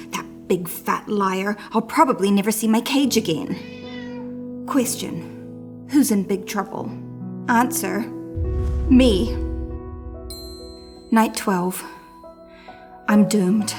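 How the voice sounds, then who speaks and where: A woman's voice: mid-range voice, natural voice. A woman voicing a dramatic, anxious little male hamster telling his diary in a children's storybook. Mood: doomed, weary. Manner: melodramatic storytelling with hushed suspense and emphatic exclamations.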